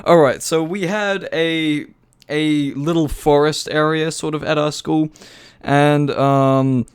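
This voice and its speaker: Slow, smooth-voiced podcast guy. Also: on the high side, fairly even intonation. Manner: hesitant, halting with fillers. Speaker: podcast co-host. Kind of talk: casual chat.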